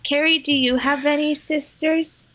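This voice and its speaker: A woman with a high voice takes part in a tabletop game. She role-plays casually and unhurriedly, with simple improvised lines. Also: steady tone, a little slow, a bit bright.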